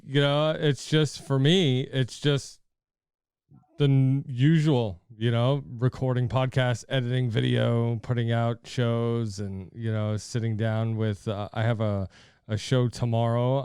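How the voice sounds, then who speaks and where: Smooth-voiced, giggly male podcast host. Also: medium pitch, darkish tone, no room sound, studio quality. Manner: giggly, friendly. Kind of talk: casual phone chat.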